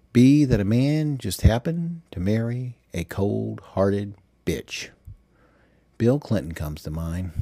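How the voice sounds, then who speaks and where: A man playing a bitter, self-pitying middle-aged FBI agent who dictates confessions in a sardonic, deliberate way, in an audiobook. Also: low voice, dark tone, dry recording. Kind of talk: dramatized audiobook monologue.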